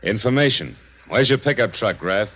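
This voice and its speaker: An actor playing a tough, wry private detective in 1940s radio drama style, with clipped, wisecracking delivery. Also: male voice, fairly low pitch, expressive, moderate pace, a bit bright, a little hiss or hum. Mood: tough questioning. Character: hard-boiled male private detective, tough, wry narrator. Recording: dull old radio recording with music.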